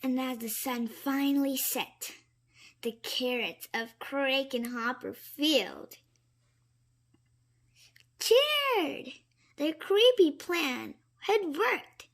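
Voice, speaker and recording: A woman reading children's stories aloud in an expressive, dramatic way, with suspenseful pauses. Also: high-pitched. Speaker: storybook reader.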